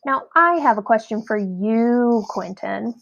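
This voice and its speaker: Jovial quoll bandleader, performed by a woman: hearty male showman in a storybook, raised pitch. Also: expressive, unhurried, vocal fry, a bit bright, dull audio, full character voice. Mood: friendly. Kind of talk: children's storybook read-aloud.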